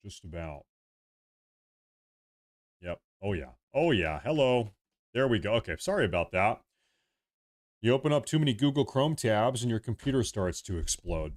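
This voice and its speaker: Low-voiced man chatting on a livestream. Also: big pitch swings, normal speed, long pauses. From United States. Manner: rambling, repeats himself, reads chat aloud. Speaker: livestream host. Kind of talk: casual livestream chat.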